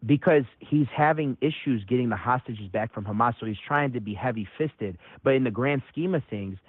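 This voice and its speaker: A caller from Metro Detroit arguing heatedly, his voice jumping high when he gets worked up. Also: normal speed, slightly rough, no echo. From Metro Detroit, USA. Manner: excitable, voice jumps high when agitated. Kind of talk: heated call-in debate. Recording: tinny phone line.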